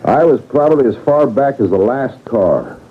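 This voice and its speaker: An actor playing an earnest, plain-spoken railway brakeman, excitable at times, in old-time radio drama style. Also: medium pitch, moderate pace, a little hiss or hum, lightly acted. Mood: anxious, earnest. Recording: tinny old radio recording.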